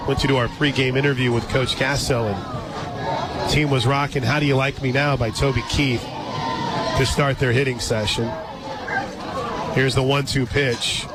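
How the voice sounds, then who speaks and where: A man calling a softball game live on the radio, mixing pitch-by-pitch play-by-play with conversational asides. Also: steady tone, unhurried, long pauses, heavy background noise. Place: stadium radio booth at an outdoor ballpark. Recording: loud crowd and music behind. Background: loud ballpark crowd and music.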